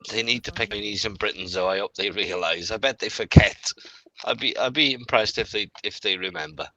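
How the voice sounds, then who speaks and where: Laughing, blunt game co-caster. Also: male voice, lowish voice, a little fast, pushed and bright, no room sound, low-quality recording. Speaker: esports co-commentator. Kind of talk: casual game commentary. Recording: game music playing behind.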